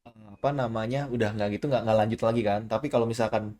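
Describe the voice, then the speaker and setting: A man's voice: lowish voice, fairly even intonation, a little mellow. Teasing male streamer on a video call. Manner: teasing, quick comebacks. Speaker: streamer host. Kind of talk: joking video chat banter.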